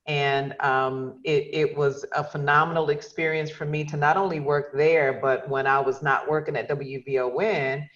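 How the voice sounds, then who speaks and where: A woman's voice: sits low, level tone, moderate pace, few pauses, fairly bright tone, highs cut off. A woman from Chicago, a radio host and podcaster, telling her career story with enthusiasm on a video call. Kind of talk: casual video call chat.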